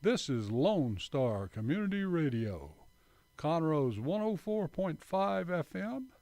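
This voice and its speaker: Slow, high-voiced man announcing over music. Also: a little mellow, no echo. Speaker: radio announcer. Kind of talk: scripted radio credits announcement.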